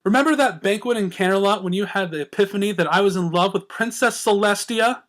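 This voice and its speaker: A man's voice: sits high, fast talker, a bit bright. A man voicing a bookish, sensible young female unicorn who reasons in an exasperated way, in a cartoon fan story. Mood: curt, indignant. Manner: curt, pleading, reasoning.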